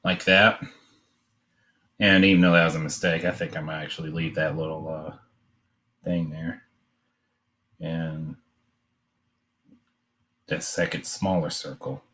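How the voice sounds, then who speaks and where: Low-voiced guy doing a casual art demo, bright crisp edge, kinda halting. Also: level tone. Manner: hesitant, halting, unscripted. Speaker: art demonstrator. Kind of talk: informal drawing demonstration, thinking aloud.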